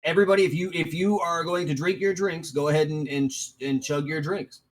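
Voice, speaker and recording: A man acting as dungeon master, explaining rules and scenes in a loose, halting way. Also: a little high. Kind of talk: casual tabletop game narration.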